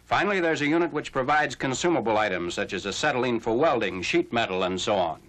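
A man narrating a scripted 1940s military newsreel in a formal, measured announcer's delivery. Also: mid-range voice, lively intonation, fairly bright tone. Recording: noisy old 1940s film soundtrack.

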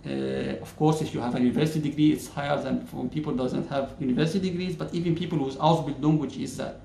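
A man's voice: on the high side, steady tone, gravelly, mellow, slight background noise. Man explaining in an echoey room. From Egypt. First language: Arabic. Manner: measured, many "uh" pauses, gestures with hands. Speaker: YouTuber. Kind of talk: casual explainer talking to camera. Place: plain-walled indoor room.

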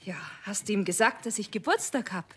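A woman playing a brisk, strict but kindly mother in a children's radio play. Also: medium pitch, animated, fairly bright tone, slightly rough. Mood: curious.

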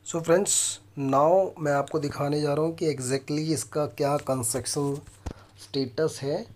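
A man's voice: fairly high pitch, fairly even intonation, a little slow, a little mellow. A man in his thirties with an Indian accent, presenting a property walkthrough as host and explaining things directly to viewers. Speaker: property consultant and video host. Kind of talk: property walkthrough presentation mixing Hindi and English.

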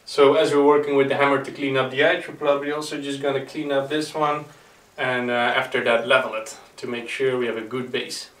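A man's voice: medium pitch, flat delivery, a little fast, a bit bright. Young man explaining a building project. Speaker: host of a renovation project vlog. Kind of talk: explanatory vlog talk and narration.

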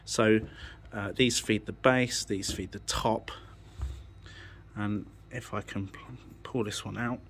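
Lower-pitched man explaining tech informally. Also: fairly even intonation, slow, a bit bright, a little hiss or hum. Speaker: audio technician presenting a tech channel. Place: indoors, beside an amplifier rack.

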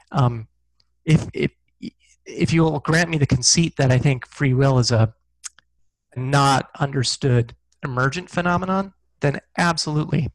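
A man's voice: mid-range voice, no echo, rough recording. A middle-aged man talking casually as an interview guest, thoughtful and self-deprecating, on a headset mic. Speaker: guest, physicist and science fiction author. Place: small indoor room, video call.

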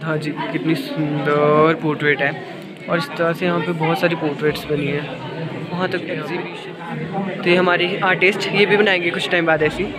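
A man's voice: a little high, flat delivery, moderate pace, over a noisy crowd. A man, casually narrating a walking travel vlog into his phone. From Himachal Pradesh, India. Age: 20s. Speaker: vlogger. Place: outdoors on hill walkways, some indoors. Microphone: phone at arm's length. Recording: music laid loudly over speech. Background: loud music.

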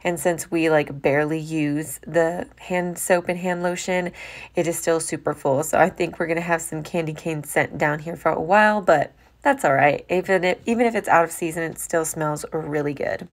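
A woman's voice: deep voice, steady tone, moderate pace. A young American woman giving a chatty, informal voice-over about household chores, as a homemaking vlogger. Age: young adult.

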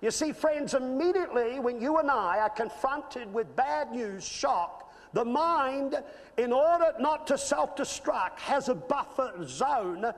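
Australian pastor preaching in an echoing hall. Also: male voice, very high voice, animated, a little slow. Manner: earnest, building to emphatic peaks. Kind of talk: sermon with personal story. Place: church stage with screen. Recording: reverberant church hall.

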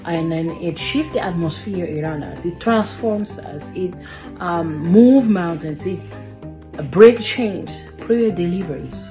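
Earnest woman teaching on prayer, lowish voice. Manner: earnest, with frequent fillers. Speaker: kids ministry teacher.

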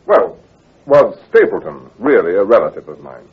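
Very husky, curious gentleman. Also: deep voice, big pitch swings, normal speed, exaggerated voice. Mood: puzzled, curious. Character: Sir Henry Baskerville, curious, courteous gentleman client. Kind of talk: radio drama acting. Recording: muffled, lo-fi old radio recording.

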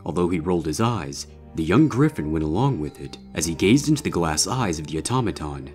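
Very deep-voiced male story narrator. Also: big pitch swings, a little fast, darkish tone, soft background music. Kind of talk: scripted fiction narration, horror story.

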